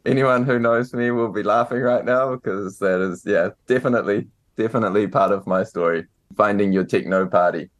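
Low-voiced New Zealand man, hesitant. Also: fairly quick, hardly any pauses. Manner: hesitant, many ums and restarts. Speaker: author and guest. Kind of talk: casual interview answers.

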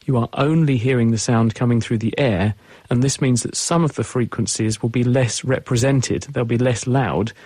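A man's voice: mid-range voice, a little mellow, husky, dry recording. A man on a radio call-in show, patiently explaining science to callers in a studio. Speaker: science guest expert.

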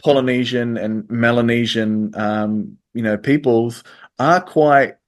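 A man, answering interview questions in a hesitant way with many pauses and restarts. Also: mid-range voice, steady tone. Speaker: interview guest. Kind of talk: radio interview.

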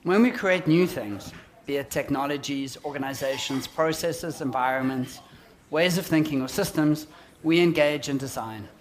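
A man in his forties with a South African accent, giving a prepared, measured public talk on design. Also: fairly high pitch.

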